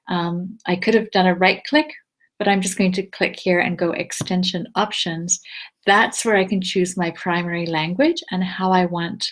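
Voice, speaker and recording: Patient woman teaching a screencast tutorial. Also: fairly low pitch. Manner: patient step-by-step instruction with frequent ums. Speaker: teacher giving a tech tutorial. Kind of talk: screencast walkthrough.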